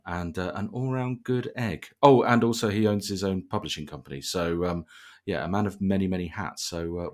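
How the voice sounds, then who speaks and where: A man hosting a casual podcast interview with dry, jokey, self-deprecating banter. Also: low-pitched. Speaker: podcast host.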